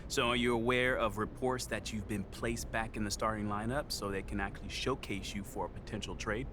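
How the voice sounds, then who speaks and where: An actor plays a probing male reporter in a video game. His voice sits fairly low. There is obvious rumbly background noise. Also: fairly even intonation, brisk, close to an everyday voice. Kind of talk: naturalistic video game cutscene acting.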